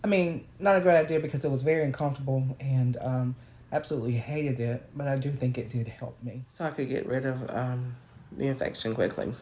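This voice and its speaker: A woman with a naturally deep voice, hoarse while ill, telling a casual, rambling personal story and correcting herself as she goes. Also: fairly even intonation, fairly quick, warm tone, slight background noise. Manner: rambling, self-correcting, conversational. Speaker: vlogger.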